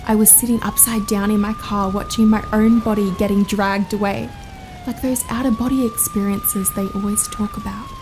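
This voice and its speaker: A woman's voice: medium pitch, noisy background, natural voice. A woman acting the ghost of a young woman, frank and matter-of-fact, in an audio drama.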